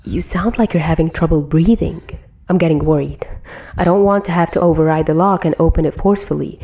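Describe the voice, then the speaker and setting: Low, worried fatherly man, voiced by a woman. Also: fairly quick, lo-fi sound. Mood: coaxing, concerned. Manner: coaxing, concerned. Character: worried, fatherly billionaire superhero man, coaxing.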